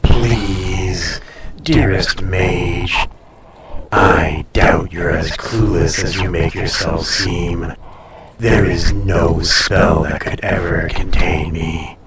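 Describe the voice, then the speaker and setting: A man voicing an ancient, haughty, possessive dragon in an intimate audio roleplay, speaking formally and deliberately with a breathy, softly menacing, seductive delivery. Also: low-pitched, steady tone, echoey room, far from the mic, over a noisy crowd, heavily acted. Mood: condescending, teasing.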